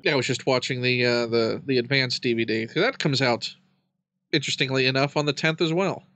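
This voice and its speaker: A man's voice: medium pitch, a little fast, fairly bright tone, a little hiss or hum. A man chatting casually as an interviewer, bantering with dry, wry humor.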